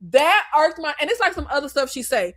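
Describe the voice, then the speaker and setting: An animated young woman with a Floridian Southern lilt chats through movie talk, with a fairly high voice. She is emphatic and often jumps way up in pitch when something annoys her. The sound is close and dry. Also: a little fast, no echo. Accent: Floridian Southern slang. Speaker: live stream host discussing movies. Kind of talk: casual live chat reading viewer comments.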